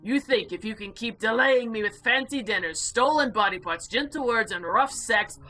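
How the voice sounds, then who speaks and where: A woman voicing an imperious, condescending eldritch female being in a visual novel, speaking formally and deliberately. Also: a little high, pushed and bright, soft background music, low-quality recording. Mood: disdainful. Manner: formal, haughty, deliberate speech. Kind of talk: visual novel character voice-over.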